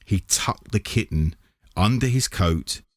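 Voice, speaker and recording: A man narrates a children's storybook in a very low register. His reading is slow and measured, recorded close and dry with no room around it. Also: lively intonation, dry recording. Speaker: reader of a children's book.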